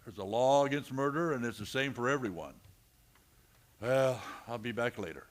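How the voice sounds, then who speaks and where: Casting: dogged western lawman played by a man, mid-pitched, weary and sparing with words, for radio drama. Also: moderate pace, lightly acted. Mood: stern, probing. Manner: terse, questioning. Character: weary, dogged US marshal of the Old West. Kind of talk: western radio play acting.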